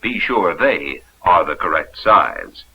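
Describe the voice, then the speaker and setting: A man's voice: deep voice, very animated, takes it slowly, creaky. An American man reading scripted narration for a 1970s instructional film in a folksy, upbeat, explanatory manner. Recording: dull, noisy vintage 16mm film soundtrack.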